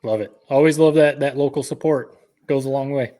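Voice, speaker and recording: A man's voice: medium pitch, brisk, dark tone. A man co-hosting a podcast, chatting casually. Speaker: podcast co-host. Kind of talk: casual chat.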